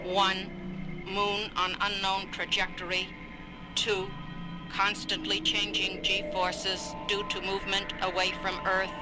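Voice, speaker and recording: A woman's voice: mid-range voice, monotone, lightly acted, poor audio. A woman voicing an impassive master computer that announces data item by item in a science-fiction audio drama. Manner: deliberate, measured, item-by-item announcing. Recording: loud music bed behind.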